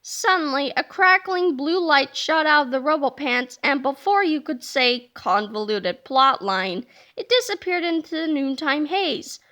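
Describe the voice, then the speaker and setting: A woman reads a children's book aloud with animated, playful narration. Her voice sits high and sounds bright and lively. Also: unhurried, hardly any pauses. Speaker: storybook reader.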